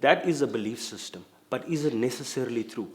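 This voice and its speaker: A man from South Africa, a photographer and activist, asking measured, articulate questions in a casual panel discussion. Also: mid-range voice, lively intonation.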